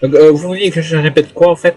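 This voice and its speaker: A man's voice: on the high side, steady tone, quick pace, a little mellow. A man making an improvised phone call as a hotel guest, speaking in a hesitant, rambling way. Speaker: prank caller posing as a hotel guest. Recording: noisy phone call with clicks.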